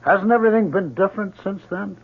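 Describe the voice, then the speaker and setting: An actor playing a troubled, guilt-ridden artist and husband in 1970s radio drama style, intense and theatrical. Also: male voice, high voice, fast talker, vocal fry, slightly rough, heavily acted. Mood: defensive. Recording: dull 1970s radio recording.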